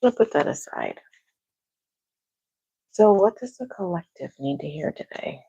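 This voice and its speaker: Soft-spoken woman with a gravelly low voice. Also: sing-song, a little slow, no echo, poor audio. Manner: talks to herself, quiet and musing. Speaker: tarot reader. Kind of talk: casual solo tarot livestream. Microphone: clip-on microphone.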